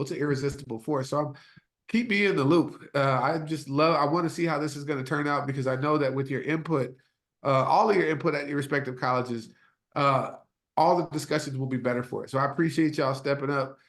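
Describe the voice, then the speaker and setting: Homem animado em videochamada, fala com entusiasmo. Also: male voice, on the high side. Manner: earnest, repeats words and restarts, says y'all. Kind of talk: encouraging remarks on a video call.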